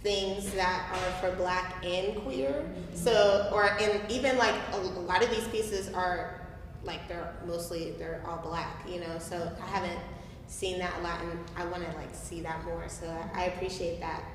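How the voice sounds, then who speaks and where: Slow-spoken young woman in an echoey hall. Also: on the low side, fairly even intonation. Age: 20s. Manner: hesitant, halting delivery. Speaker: playwright and youth ensemble member. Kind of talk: panel discussion answer. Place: reverberant room at a live staged reading. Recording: echoey hall, noticeable background noise.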